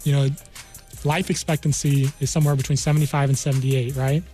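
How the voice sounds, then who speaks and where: A man's voice: mid-range voice, level tone, fast talker, mellow, faint music behind. An American man in his thirties from New Mexico, explaining things informally as a guest career counselor in a conversational way. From New Mexico, United States. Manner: conversational, explains with hypothetical examples.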